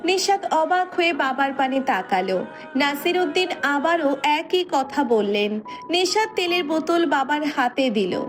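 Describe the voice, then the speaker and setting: High-pitched woman narrating a story. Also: lively intonation, measured pace, soft background music.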